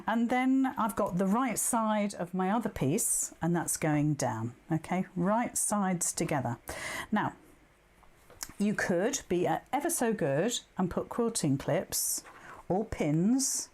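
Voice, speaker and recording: Woman, mid-pitched voice, sewing tutor, chatty live demonstration, self-deprecating banter, talks through each step. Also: a little mellow. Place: indoors at a sewing machine.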